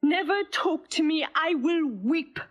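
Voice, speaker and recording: A doting, lovesick young woman with a high voice. Her pitch rises and falls as she pleads. Earnest and plaintive throughout. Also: expressive, measured pace, lo-fi sound, soft background music. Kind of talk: stage-play acting.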